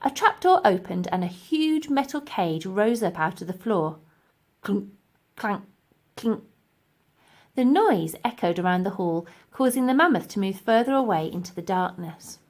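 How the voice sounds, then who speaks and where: A woman narrating a scripted children's audiobook in an expressive, deliberate storytelling manner. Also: medium pitch. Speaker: audiobook reader.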